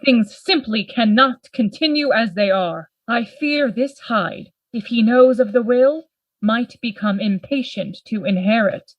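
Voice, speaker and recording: A woman playing a grave, worried gentleman lawyer in a Gothic radio play, formal and earnest in manner. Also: on the high side, a little slow, dry recording, highs cut off. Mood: troubled, resolute.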